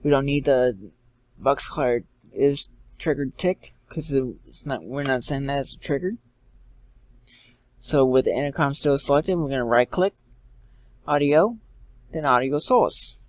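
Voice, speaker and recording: A man giving an informal step-by-step software tutorial in a halting way, repeating and correcting himself as he goes. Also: medium pitch, expressive, no room sound. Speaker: tutorial presenter. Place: indoors, screen-recording voice-over.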